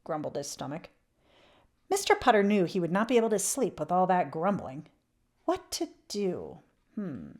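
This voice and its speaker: A woman reading a children's picture book aloud expressively, with emphatic swells and hushed drops. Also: on the low side, huge pitch swings, normal speed. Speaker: children's read-aloud channel host.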